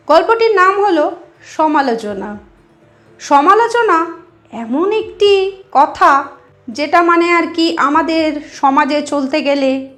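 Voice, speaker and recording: A woman's voice: extremely high pitch, a bit bright. A woman narrating a moral story as a channel host, explaining things directly to her listeners.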